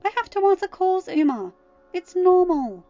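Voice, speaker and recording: A woman's voice: very high-pitched, very animated, moderate pace, dark tone, dry recording, voice pushed up. A woman voicing an irritable, stubborn teenage girl in an audiobook, defensive, whiny and exasperated. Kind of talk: acted audiobook dialogue. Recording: dull lo-fi home recording, music underneath.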